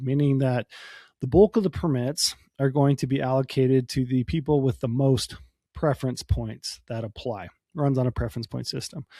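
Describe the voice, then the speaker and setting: Hoarse man explaining casually. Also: medium pitch, mellow, no room sound, studio quality. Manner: casual, explanatory, many ums and you-knows. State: hoarse from a cold. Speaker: podcast host. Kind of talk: solo podcast talk.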